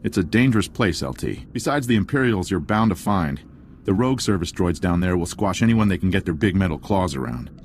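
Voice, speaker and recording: A man's voice: deep voice, animated, brisk, slight background noise. An actor playing a cheerful male military sergeant in a video game, briefing his officer with upbeat wisecracks. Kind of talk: video game cutscene dialogue.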